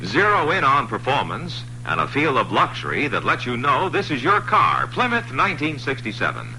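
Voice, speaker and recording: A man reading a radio car commercial in a bright, punchy salesman delivery. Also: medium pitch, wildly swooping pitch, normal speed, soft background music, low-quality recording. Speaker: ad announcer. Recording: faintly hissy 1960s radio commercial.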